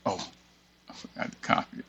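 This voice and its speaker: A man's voice: brisk, sharp tone. A man hosting a casual tutorial, reading a document aloud and muttering offhand asides to himself as he works. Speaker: tutorial host. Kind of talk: casual screen-share walkthrough, reading a document aloud with off-the-cuff remarks.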